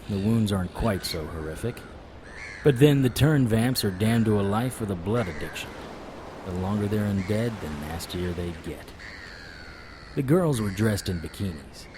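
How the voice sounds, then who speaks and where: A man with a very deep voice tells a hard-boiled story in the first person, wry and world-weary. There is plenty of dry sarcasm in his delivery. Clear noise hums behind the recording. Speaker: narrator of an audio drama, a vampire hunter. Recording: audible background noise.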